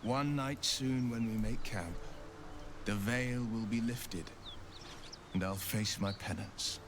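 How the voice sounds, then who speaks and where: A man's voice: fairly low pitch, takes it slowly. A man playing a proud, penitent monster-hunting hero in a video game, speaking in a formal, eloquent, theatrical way. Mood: brooding. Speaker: video game companion character. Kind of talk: dramatic video game voice acting. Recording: game audio with clear background noise.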